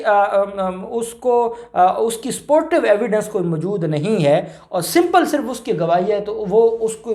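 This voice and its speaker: A man with a Pakistani accent giving an informal legal explanation, halting and rephrasing his points as he goes. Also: high-pitched, darkish tone. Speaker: lawyer explaining law. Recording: roomy, echoing indoor sound.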